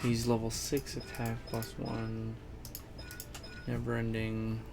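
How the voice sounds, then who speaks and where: A young male gamer comments casually on his play in a creaky, medium-pitched voice. He talks slowly in short, low-key remarks, with game music behind him. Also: completely flat delivery. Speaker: gaming streamer.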